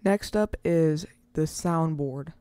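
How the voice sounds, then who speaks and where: Mellow, informal voice walking through steps. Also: mid-range voice, takes it slowly, clean tone, no room sound. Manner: rambling, informal step-by-step talk. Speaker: tutorial host. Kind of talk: casual software tutorial.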